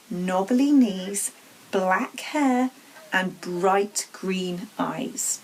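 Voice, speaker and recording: A woman reading a children's storybook aloud as an expressive narrator. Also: mid-range voice, breathy edge, low-quality recording. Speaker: story reader.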